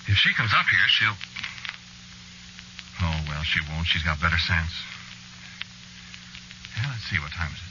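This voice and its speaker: An actor playing a haunted, centuries-old man muttering haltingly to himself in an old-time radio horror play. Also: deep voice, expressive. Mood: anxious. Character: haunted centuries-old man hunting a shapeshifting witch lover. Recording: dull, noisy vintage radio recording.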